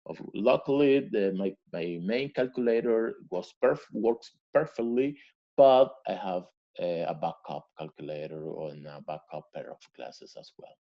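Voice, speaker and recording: A man from Venezuela whose first language is Spanish speaks as a guest in a casual interview. He has a very low, mellow voice and speaks halting English, restarting and repeating words. The audio sounds slightly dull and lo-fi. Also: creaky.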